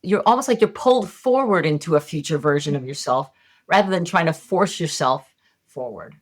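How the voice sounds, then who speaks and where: Brief: middle-aged woman, low voice, candid podcast guest explaining ideas. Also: expressive, moderate pace. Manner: casual and candid, occasional swearing. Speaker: guest, hypnotist and medium. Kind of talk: podcast interview, conversational teaching.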